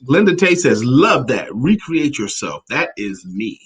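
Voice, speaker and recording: A middle-aged American man speaks as co-host of an informal talk show. His voice sits at a medium pitch for a man. He moves between conversational talk and reading viewers' comments aloud. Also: sing-song, a little slow, slightly rough.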